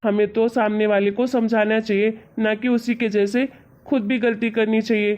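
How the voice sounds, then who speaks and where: A woman's voice: fairly high pitch, level tone, moderate pace, darkish tone, lightly acted. A woman playing an exasperated, reasoning husband in an amateur cartoon story. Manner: reasoning, chiding, colloquial. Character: exasperated young husband, patient and teasingly lecturing. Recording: dull, lo-fi audio.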